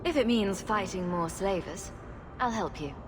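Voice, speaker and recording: A woman's voice: mid-range voice, lively intonation, normal speed, background noise, close to an everyday voice. An actress playing a female hero in a game, speaking directly and plainly. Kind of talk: video game dialogue. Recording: game music underneath. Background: game score.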